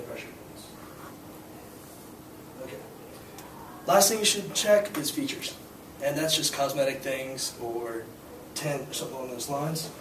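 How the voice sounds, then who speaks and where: A hoarse young man teaching a scuba class, explaining gear and skills in a casual, filler-heavy way. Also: mid-range voice. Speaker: scuba instructor. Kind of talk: hands-on demonstration to a class. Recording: echoey room, distant mic, noisy.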